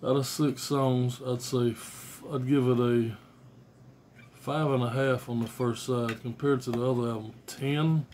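A man's voice: mid-range voice, fairly even intonation, unhurried, darkish tone. An American man in his forties chatting casually and unscripted to camera as a music fan, blunt and slangy with swearing, recorded at home on a handheld-distance device. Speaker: music fan reviewing albums on video. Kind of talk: casual unscripted vlog chat. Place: small room at home. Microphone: phone or tablet at arm's length. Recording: noisy phone recording with clicks.

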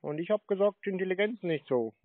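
A man mocking a phone scammer with deadpan sarcasm over a call. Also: sits high, fairly quick, no room sound. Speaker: channel host trolling a scam caller. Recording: tinny phone line.

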